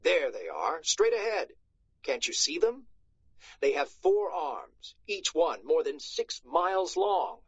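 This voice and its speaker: A grandiose storybook knight, put on by a man reading aloud, declaims his noble delusions with pompous conviction. The delivery swings dramatically and the voice is a little husky, on a dull recording. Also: fairly high pitch, very animated, slow pace, heavily acted. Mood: imperious. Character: grandiose, deluded old-fashioned Spanish knight, lean gentleman.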